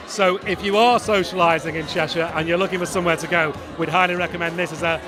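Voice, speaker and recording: High-voiced cheeky male event host. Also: steady tone, fast. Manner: playful, cheeky presenter patter. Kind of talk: upbeat event interviewing with presenter links. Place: outdoor event at a car showroom. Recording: loud event with music and crowd. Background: music and crowd chatter.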